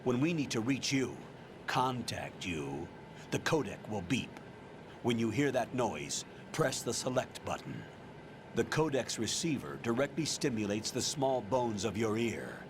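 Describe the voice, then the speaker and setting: A man voicing a stern older military colonel in a video game, giving a briefing in measured, authoritative orders. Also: mid-range voice, sing-song, background noise. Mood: firm, instructing. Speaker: commander giving mission briefing. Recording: loud music behind the voice.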